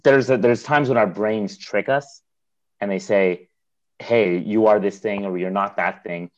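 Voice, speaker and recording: A man's voice: fairly low pitch, unhurried, muffled recording. A man in a casual conversation with an old friend, talking things through in a reflective way with restarts and chuckles. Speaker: conversation partner, longtime friend. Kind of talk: casual chat.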